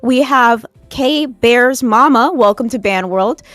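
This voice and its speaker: A woman's voice: a little high, slow, a bit bright, no echo. A woman co-hosting a casual livestream in an upbeat, teasing way, reading out new members' names. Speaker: co-host.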